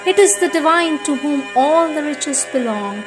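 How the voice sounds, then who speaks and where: A woman reading a devotional script in a measured, solemn manner. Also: high voice, animated, over music. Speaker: reader.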